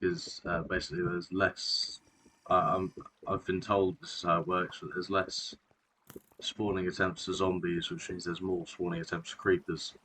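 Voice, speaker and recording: Low-voiced guy with a sore throat, rambly game tour, bit of hiss. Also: flat delivery, normal speed, fairly bright tone, low-quality recording. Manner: rambling, self-correcting, many fillers. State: sore throat, clearing throat and coughing. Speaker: Minecraft video creator. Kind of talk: casual gameplay narration.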